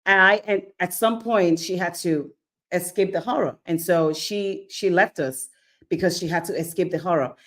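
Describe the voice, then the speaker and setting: A woman's voice: lowish voice, normal speed. A woman from Nigeria giving a sermon-style Bible teaching, asking rhetorical questions, acting out little scenes and checking in with "okay?". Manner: animated, keeps checking in with "okay?".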